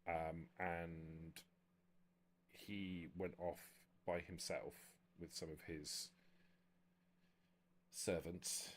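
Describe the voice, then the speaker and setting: Man as a deep-voiced, formal vampire retainer. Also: little pitch movement, full character voice. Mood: grave, careful. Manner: measured, formal, halting.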